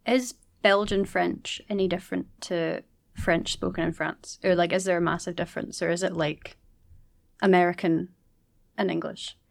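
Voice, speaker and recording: A woman's voice: on the low side, fairly even intonation, moderate pace, vocal fry, no echo, studio quality. A woman hosting a casual interview, asking questions and backing the guest with "mm-hmm" and "yeah". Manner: friendly, lots of "mm-hmm" and "yeah". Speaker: podcast host.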